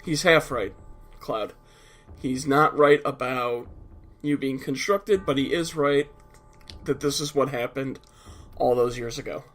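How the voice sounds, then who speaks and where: A man's voice: medium pitch, unhurried, long pauses, a bit bright, faint music behind. A man with a stuffed-up nose giving casual, explanatory commentary over a video game, blunt and a little profane at times. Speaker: let's play commentator.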